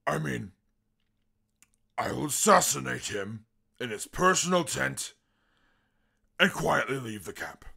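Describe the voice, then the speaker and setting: Super mutant for radio drama: haughty, formal, brutal, husky voice with wildly varied pitch. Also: male voice, bright, forceful tone, full character voice. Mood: smug. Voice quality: gravelly, husky. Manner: pompous, formal, wordy, prone to violent outbursts. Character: eloquent, pompous, brutal super mutant with inflated intellect. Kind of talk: post-apocalyptic radio drama acting.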